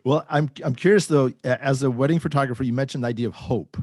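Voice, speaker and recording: A man's voice: medium pitch, fast talker, a little mellow, dry recording, clean studio sound. A man hosting a podcast interview, friendly and often stumbling over his words. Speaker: podcast host. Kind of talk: casual interview.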